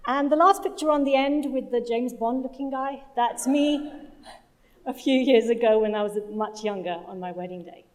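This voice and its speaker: Higher-voiced woman giving a talk. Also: normal speed. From London, UK. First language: Punjabi. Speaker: conference speaker, project manager. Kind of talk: conference presentation. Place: conference hall stage.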